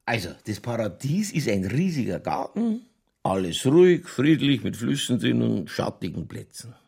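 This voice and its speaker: Older bavarian cop boss, gravelly husky voice, folksy n sly, really up and down melody, radio crime play. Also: male voice, mid-range voice, sing-song, moderate pace, a little mellow, exaggerated voice. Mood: musing, skeptical. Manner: colloquial, dry, placating. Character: older Bavarian police chief, folksy, sly, easygoing skeptic. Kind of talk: naturalistic radio crime drama acting.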